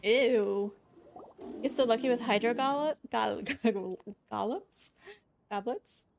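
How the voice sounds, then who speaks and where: A woman's voice: fairly high pitch, slow pace, vocal fry. A young woman doing casual live gameplay commentary as a streamer, wry, rambling and playful. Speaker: game streamer. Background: game music.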